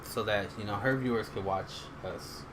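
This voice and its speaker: A man's voice: on the low side, moderate pace. Casual male podcast host, loose and jokey, recorded with a noisy background. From Texas. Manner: loose and joking, many fillers. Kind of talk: casual chat.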